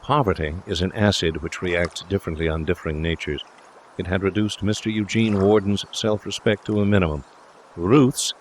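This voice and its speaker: A man's voice: low voice, slight background noise. A man reading literary prose as a scripted audiobook narrator. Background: ambient sound effects.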